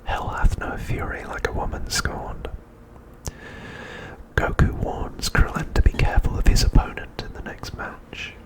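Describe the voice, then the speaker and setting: A man whispering a scripted recap as ASMR narration, softly and with deliberate pauses, close to the microphone. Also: low-quality recording.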